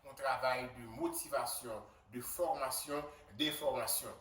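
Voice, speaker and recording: A man's voice: medium pitch, steady tone, unhurried. A man with a Haitian accent, a doctor giving an earnest, emphatic motivational video message to his community. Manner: earnest and emphatic, rising loudly on key points. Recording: roomy echo, distant mic, faint background noise.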